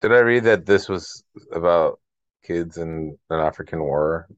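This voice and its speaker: Deep-voiced man, dull dry recording. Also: dry recording. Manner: halting, rephrases as he thinks aloud. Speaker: podcast co-host. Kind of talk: casual podcast discussion. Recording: dull lo-fi close dry audio.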